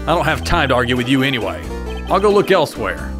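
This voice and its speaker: A man's voice: mid-range voice, sing-song, quick pace, music in the background, close to an everyday voice. A man voicing an earnest young defense lawyer in a video game fan dub, hesitant, with dry, exasperated asides. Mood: indignant, pleading.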